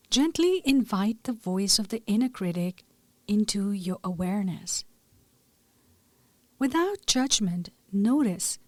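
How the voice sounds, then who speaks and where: Female meditation guide, very unhurried pace, gentle and deliberate, soft breathy phrase endings, close dry sound. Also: mid-range voice, no room sound. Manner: soothing, deliberate, measured phrasing.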